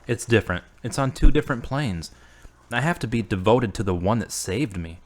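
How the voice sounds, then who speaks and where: A man playing a devout, gentle boyfriend, steady and reassuring, in earnest, measured audio drama dialogue. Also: mid-range voice, natural voice.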